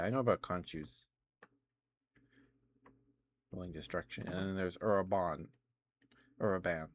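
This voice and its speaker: A man live streaming casual, rambling ASMR chat about games, often hesitating and correcting himself. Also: low-pitched.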